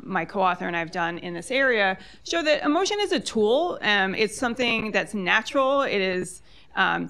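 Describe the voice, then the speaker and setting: A woman's voice: mid-range voice, sharp tone, clean tone. A woman political science professor speaking on a panel, articulate and explanatory. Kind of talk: academic panel talk. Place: lecture hall with online audience.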